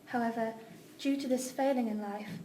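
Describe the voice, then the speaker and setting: A woman's voice: on the high side, steady tone, moderate pace, mellow, lightly acted. A woman playing a loving mother solemnly reading her farewell letter to her sons. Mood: solemn, tender. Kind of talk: slow, solemn scripted reading. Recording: echoey, distant room with noise.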